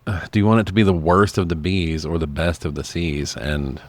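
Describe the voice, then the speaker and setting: A man's voice: deep voice, steady tone, brisk. A man chatting in a casual, informal, easygoing way as co-host of a podcast. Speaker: podcast co-host reviewing movies. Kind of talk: casual chat.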